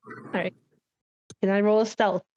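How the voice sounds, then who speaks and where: A woman's voice: medium pitch, level tone, unhurried, darkish tone. Woman playing a tabletop game, slightly dull audio. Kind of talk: casual game chat, speaking in character at times.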